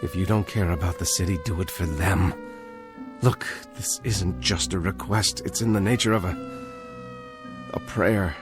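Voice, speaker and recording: Actor as low-voiced, earnest American man. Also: moderate pace, close to an everyday voice. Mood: pleading, grave. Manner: guarded, clipped, intense. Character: earnest, urgent American man carrying a grave warning. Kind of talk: vintage television drama acting. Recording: old TV soundtrack with music. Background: dramatic music.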